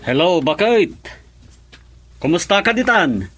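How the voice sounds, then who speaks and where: A man's voice: sits high, big pitch swings, unhurried, fairly bright tone, faint music behind, exaggerated voice. A man playing an easygoing middle-aged father in an Ilocano radio drama, with an Ilocano accent. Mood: cheerful.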